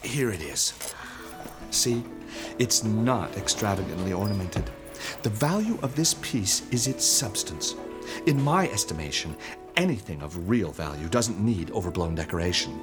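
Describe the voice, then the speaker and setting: Courteous, expressive male shop clerk. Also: on the low side, over music. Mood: courteous, attentive. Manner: polite, measured, formal. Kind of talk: stage-style radio drama acting.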